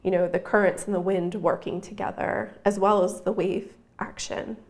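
A woman's voice: mid-range voice, level tone, moderate pace, darkish tone. A middle-aged woman marine scientist explaining her research in an interview, thoughtful, with a few fillers. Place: office.

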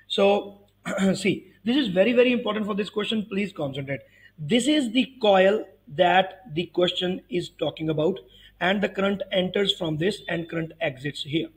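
A man's voice: high voice, normal speed. A man in his thirties giving an explanatory physics tutorial, emphatically repeating key phrases. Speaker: physics teacher.

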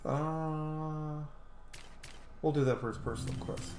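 A man's voice: a little high, steady tone, slow pace. A man in his thirties giving casual commentary on a video game playthrough from a small room. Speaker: game streamer. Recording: echoey room, game music behind.

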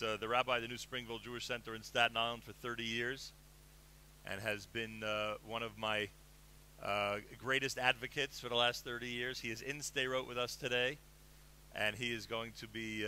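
A middle-aged man hosting a live radio show, enthusiastic and animated, speaking into a headset mic in a broadcast booth. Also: medium pitch, moderate pace, slight background noise. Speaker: radio host.

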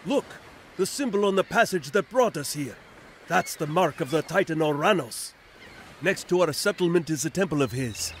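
An actor playing a proud, zealous leader of exiles in a video game, earnest and urgent in a declamatory way. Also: male voice, on the high side, expressive, normal speed, a little hiss or hum, lightly acted. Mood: earnest conviction. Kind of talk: dramatic video game cutscene acting.

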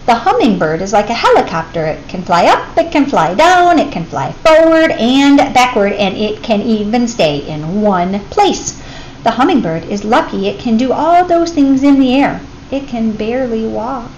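A woman reading a children's picture book aloud in an expressive teacher's manner, addressing young listeners. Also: fairly high pitch, moderate pace, muffled recording. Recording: clear background noise.

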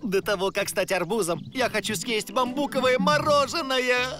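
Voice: medium pitch, fairly even intonation, moderate pace, music in the background, close to an everyday voice. An actor voicing a childlike male panda in a children's cartoon, with a dramatic, plaintive way of talking. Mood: needy, demanding. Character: young male panda, childlike, melodramatic and worried. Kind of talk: dubbed children's animated cartoon.

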